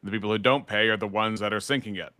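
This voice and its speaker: A man's voice: on the low side, fairly even intonation, fast talker, bright, forceful tone, no echo. A man hosting a casual panel discussion, explaining points at length and then handing over to his guests. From United States.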